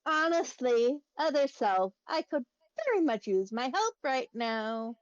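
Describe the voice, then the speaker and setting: Female tabletop player, animated high pitch, unhurried, casual roleplay, muffled lo-fi recording. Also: dry recording.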